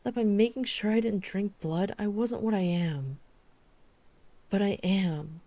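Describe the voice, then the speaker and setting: A woman playing a tormented, guilt-ridden vampire hunter in an intimate ASMR audio drama, halting with dramatic pauses. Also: medium pitch, mellow, dry recording, close to an everyday voice. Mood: guilty, despairing. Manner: slow, halting, dramatic pauses, breathy sighs.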